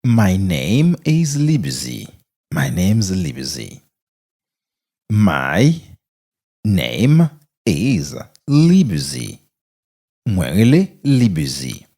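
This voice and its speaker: Deep gravelly Haitian-accented man, very slow. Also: warm tone. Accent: Haitian Creole. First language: Haitian Creole. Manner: repeats phrases word by word, very slowly. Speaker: English teacher. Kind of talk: slow drilling of English phrases with Creole explanations.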